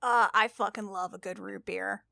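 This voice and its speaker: A woman's voice: mid-range voice, fairly even intonation, moderate pace, creaky, sharp tone, no room sound, studio quality. A woman chatting casually as a podcast player, emphatic and freely sweary. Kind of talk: casual chat.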